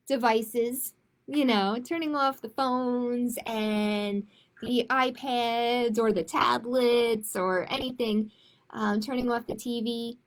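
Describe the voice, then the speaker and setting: A woman speaking as a children's storytime host, in a measured manner suited to picture books and simple chat with families. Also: fairly high pitch. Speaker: children's librarian hosting storytime. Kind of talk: picture book read-aloud, then casual announcements.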